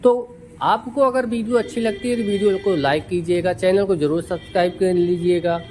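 A woman giving a walkaround review of a tractor, explaining each feature in a steady, repetitive way and often addressing farmers as brothers. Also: fairly low pitch, brisk, a little hiss or hum. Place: at a tractor dealership.

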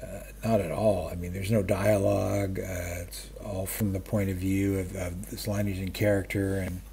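A man in his fifties or older chatting casually about stories, halting and interrupting himself in a self-deprecating way, with a husky voice. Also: deep voice, level tone, mellow, a little hiss or hum. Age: 50s or older. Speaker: book vlogger. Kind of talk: casual rambling chat about stories and radio. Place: small room at home, indoors. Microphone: webcam framing, wearing wired earphones.

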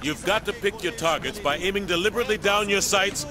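A brash American sergeant, played by a male actor, instructs recruits in a military game. His voice sits high for a man, and he projects blunt, no-nonsense commands to the group. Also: fast, over music, close to an everyday voice. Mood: commanding, blunt. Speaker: drill instructor. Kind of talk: military game tutorial dialogue.

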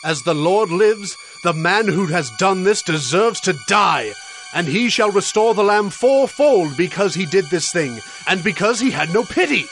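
Passionate bible king, earnest, a bit high for a man, soft music under. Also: expressive, measured pace, full character voice. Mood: indignant. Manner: earnest, declamatory. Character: passionate male king of ancient Israel. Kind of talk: dramatized audio Bible acting.

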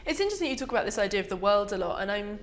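A woman's voice: mid-range voice, big pitch swings, racing, slight background noise. A young woman, asking wordy, thoughtful questions in a conversational interview. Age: young adult. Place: indoor photo studio, seated interview.

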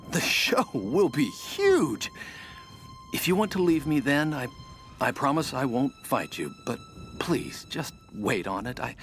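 Casting: pompous schemer and fame-hungry expedition man for a game, highly animated, stammering over himself. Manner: halting, self-important, theatrical. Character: vain, scheming, desperate male celebrity explorer. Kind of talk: dramatic game monologue. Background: music.

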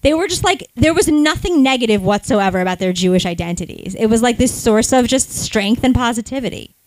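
A woman's voice: a little high, lively intonation, a little fast, slight background noise, rough recording. A woman co-hosting a podcast, earnestly recapping a book with reflective, hedging phrases. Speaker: podcast co-host. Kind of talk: live podcast book discussion.

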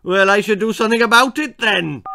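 A man's voice: high voice, fairly quick, vocal fry, bright, forceful tone, a little hiss or hum, voice pushed up, full character voice. A man voicing a cheeky, bored young boy in a children's story. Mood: cheeky prankster.

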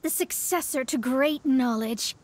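Haughty young astrologer. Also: female voice, high-pitched, a little slow, a little hiss or hum. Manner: haughty, self-assured. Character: proud young female astrologer. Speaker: game character. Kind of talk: video game voice acting. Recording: game audio with soft music.

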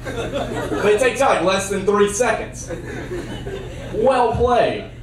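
Young man doing stand-up, very lively pitch. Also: slow, crowd noise. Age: young adult. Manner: deadpan one-liners with sudden loud bursts. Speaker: stand-up comedian. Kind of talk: stand-up comedy set. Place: dark theater stage. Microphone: handheld mic on a cord. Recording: roomy sound from a far camera.

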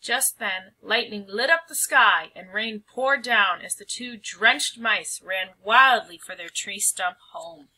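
A woman reading a children's storybook aloud in an expressive, animated and carefully phrased narration. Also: mid-range voice, takes it slowly, hardly any pauses, low-quality recording. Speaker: storybook reader.

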